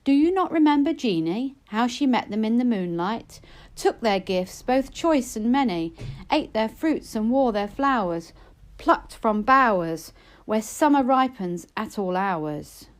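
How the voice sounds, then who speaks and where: A woman's voice: a little high, lively intonation, unhurried. A cautious maiden from a storybook poem, played by a woman. She sounds anxious and urgent as she warns and scolds her sister. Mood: reproachful. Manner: urgent, admonishing.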